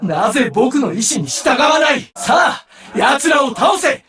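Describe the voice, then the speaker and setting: A man's voice: very high-pitched, very animated, moderate pace, pushed and bright, raised voice, full character voice. A man playing a menacing, vengeful young duelist villain in an anime card game, with a theatrical, taunting delivery. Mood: sadistic, taunting. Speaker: voice actor. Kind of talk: Japanese anime game character lines.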